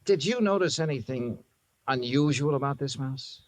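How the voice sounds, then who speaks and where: An actor playing a kindly, wry investigator in a 1950s radio drama, coaxing gently and narrating in an understated way. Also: male voice, mid-range voice, lively intonation, normal speed, natural voice. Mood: reassuring. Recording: vintage 1950s radio recording.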